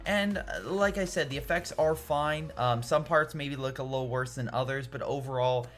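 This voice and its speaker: A man's voice: mid-range voice, moderate pace, a bit bright. A man giving a casual, opinionated film review in an informal, emphatic, rambling way. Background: background music.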